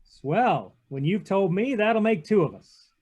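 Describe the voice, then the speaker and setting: An actor playing a wry, impatient private detective in a 1940s radio drama, terse and sardonic. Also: male voice, sits high, big pitch swings, a little slow, darkish tone, slightly rough, full character voice. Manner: terse, sardonic, hard-boiled. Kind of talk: 1940s radio drama read-through with narration. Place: video call read-through.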